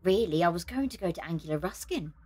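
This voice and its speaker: A woman's voice: fairly low pitch, expressive, quick pace, noisy background, close to an everyday voice. A young woman playing a defensive, self-deprecating botany student in an audio drama.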